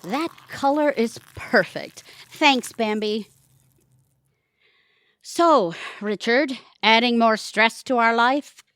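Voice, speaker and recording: A woman playing a scheming, sharp-witted middle-aged wife and mother in an audio comedy, with a wry, wisecracking manner. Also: on the high side, takes it slowly, no room sound. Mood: stressed, sarcastic. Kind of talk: audio theatre comedy acting.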